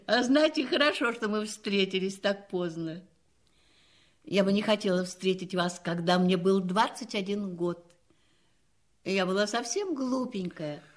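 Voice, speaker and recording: A woman's voice: mid-range voice, measured pace, natural voice. An actress playing an elderly spinster lady in a drama, candid and gracious, with a reflective, teasing manner. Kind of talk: acted radio-play style dialogue. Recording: music playing behind the voice.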